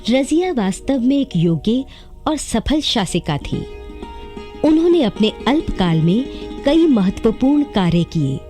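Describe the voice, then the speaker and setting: A woman narrates the introduction to a historical radio drama in a formal, scripted style. The voice is mellow and expressive with wide pitch movement, over faint music.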